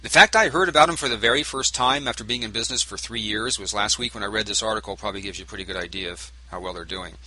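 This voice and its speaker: A man's voice: on the low side, very fast, few pauses, pushed and bright, low-quality recording. A man hosting a talk radio show, chatting casually with many fillers and restarts, with a sore throat. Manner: conversational, with frequent fillers and restarts.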